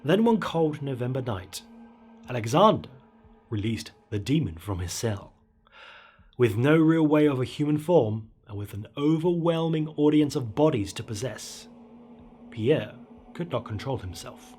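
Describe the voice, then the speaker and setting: A man's voice: medium pitch, animated, measured pace, husky. A man telling a scripted horror story in a theatrical, suspenseful way. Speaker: horror story narrator and channel host. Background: dark ambient music bed.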